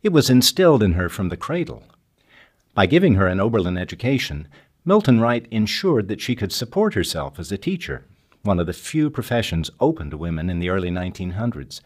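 A man's voice: sits low, very animated, a little fast. A man narrating a scripted documentary as its narrator and interviewer.

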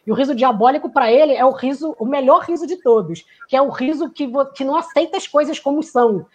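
A woman's voice: sits high, lively intonation, fast, darkish tone, lo-fi sound. A woman who works in theater giving a reflective talk, musing aloud, searching for words and acting out quoted lines.

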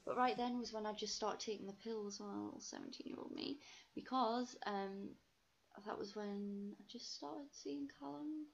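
Dull-sounding young Geordie-posh woman, slightly creaky. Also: medium pitch, very animated, normal speed. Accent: soft Geordie mixed with posh English. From North East England. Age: young adult. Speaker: podcast guest, ballet dancer. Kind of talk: casual chat.

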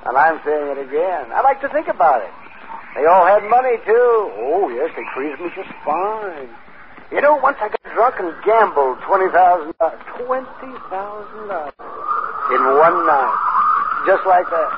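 Resigned, easygoing spaceman, high and animated, vintage radio drama, faintly noisy recording. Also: moderate pace, highs cut off. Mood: fond reminiscing. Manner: wistful, easygoing, reminiscing. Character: contented, carefree little spaceman resigned to death. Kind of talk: 1950s radio drama acting.

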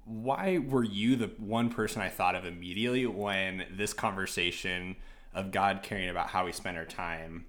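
Low-voiced American man hosting a podcast. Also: normal speed, fairly bright tone. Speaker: podcast host. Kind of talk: casual conversational podcast talk.

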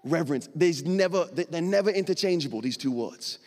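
A male pastor preaching a sermon on a church stage into a microphone, explaining in a conversational way with dramatic pauses. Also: fairly high pitch, lively intonation, a little mellow. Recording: long hall reverb.

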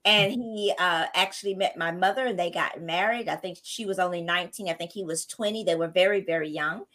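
A woman's voice: on the low side, fairly quick, fairly bright tone. A woman hosting a podcast and coaching writers, talking in an effusive, enthusiastic and preacherly way. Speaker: podcast host and writing coach. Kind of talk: hosting a podcast book launch.

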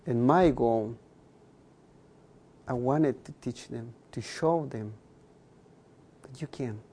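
Gravelly man with a K'iche' Mayan accent. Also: medium pitch, slow, dark tone. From Guatemala. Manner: earnest, repeats phrases for emphasis. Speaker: guest. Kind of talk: earnest interview talk.

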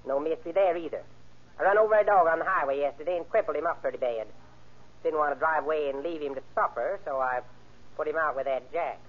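A man's voice: fairly high pitch, fast, close to an everyday voice. Tinny old-radio cocky crook, Texas drawl, acted by a man. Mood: coolly evasive. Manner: drawling, slangy, evasive, unruffled. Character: tall, sly, cocky male car thief with Southern drawl. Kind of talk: old-time radio crime drama acting. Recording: tinny, band-limited old radio recording.

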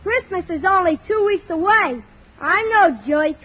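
A very high, childlike voice on a muffled old radio recording: a wisecracking little boy from next door. He puts on polite manners with a cheeky edge, in 1940s radio comedy style, over faint noise. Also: lively intonation, unhurried. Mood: eager, mock-polite.

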